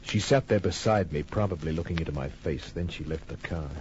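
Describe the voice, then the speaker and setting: A man's voice: deep voice, fairly quick, a little mellow, a little hiss or hum, close to an everyday voice. An actor playing a wry, hard-boiled private detective who narrates his case in a laconic, cool way in an old-time radio crime drama. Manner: laconic, cool, clipped storytelling. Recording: muffled old radio recording with music.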